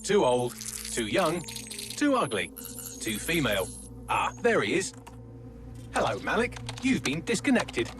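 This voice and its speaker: An actor with a British accent playing a flippant, sardonic artificial intelligence assistant in a video game. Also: male voice, a little high, wildly swooping pitch, a little slow, long pauses, over music. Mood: gleeful mockery. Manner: flippant, dry wit, glib.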